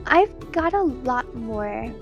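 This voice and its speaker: A woman streamer with a very high voice and a mostly American accent. She talks slowly and casually, with a playful, teasing manner. Faint music plays behind her. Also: sing-song, lo-fi sound. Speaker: streamer, digital artist. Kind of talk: casual livestream chat while drawing.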